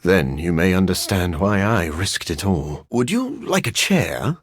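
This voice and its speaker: A man playing a brooding, dryly witty vampire scientist in a video game, with husky, gritty speech and a formal, theatrical manner. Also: low voice, big pitch swings, unhurried. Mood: dryly wry. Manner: formal, theatrical, articulate, dry humor. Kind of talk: video game character voice lines.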